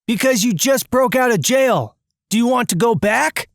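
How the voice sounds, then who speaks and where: A brusque getaway accomplice from a cartoon mystery, read by the narrator, bossy and impatient. The voice sits raised in pitch with lively movement, commanding and dismissive, recorded dry. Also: moderate pace, no echo, lightly acted.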